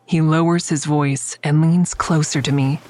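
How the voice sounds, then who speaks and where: Very low-voiced female narrator. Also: steady tone, a little slow, darkish tone, dry recording. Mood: observant. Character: observant, wry, secretive woman narrating her own story. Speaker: narrator and lead character. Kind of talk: first-person audio drama narration.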